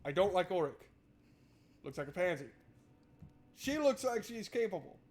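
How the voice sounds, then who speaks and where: A man's voice: high voice, sing-song, normal speed. A man streaming a game, switching between reading narration aloud and casual, irreverent, sweary joking commentary.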